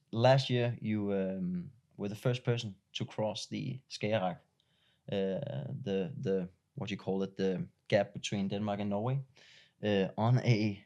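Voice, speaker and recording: A man hosts a casual interview in a slightly low voice. He stumbles through his questions, restarting and filling gaps with "um" and "uh". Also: a little mellow. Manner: halting, many restarts and fillers. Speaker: interviewer, host.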